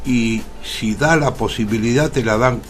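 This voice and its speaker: An older man with a Rioplatense Argentine accent speaks as a radio interview guest. His pitch is middling and he explains his points deliberately. Faint music plays behind his voice. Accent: Rioplatense Argentine Spanish. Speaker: interview guest, theatre actor.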